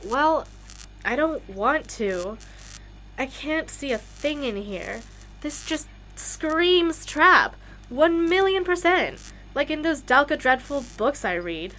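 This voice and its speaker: A woman's voice: high voice, expressive, takes it slowly, fairly bright tone, full character voice, poor audio. A woman playing a wary, sceptical young female musician in an audio drama, with a dry, cautious manner. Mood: reluctant.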